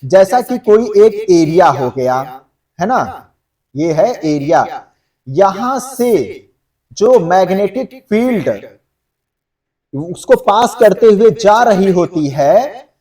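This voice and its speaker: A man's voice: sits high, expressive, takes it slowly, long pauses, mellow, distant mic. A man teaching exam students in an explanatory, conversational lecture, often checking that they follow. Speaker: exam-coaching geography teacher.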